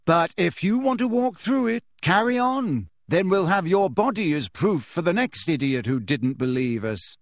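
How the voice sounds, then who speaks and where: Male reader playing a sharp-tongued teen girl, high bright voice, bossing a crowd, storybook. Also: expressive, normal speed, few pauses, dry recording, clean studio sound. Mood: exasperated. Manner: exasperated, commanding.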